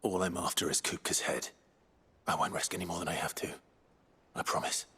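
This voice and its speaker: An actor playing a brooding, resolute warrior leader in a fantasy game, husky, terse and earnest. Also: male voice, fairly even intonation, fairly quick, pushed and bright, heavily acted. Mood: reassuring, calm. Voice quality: husky, gravelly rasp. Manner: low-key, terse, earnest. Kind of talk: scripted fantasy game dialogue.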